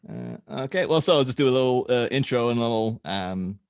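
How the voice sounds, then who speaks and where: A man with an average-pitched, highly expressive voice hosts a table read. He is informal and a little halting as he narrates. The sound is dry, with no room echo. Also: smooth. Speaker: host and script narrator. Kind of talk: casual introduction, then reading screenplay directions aloud.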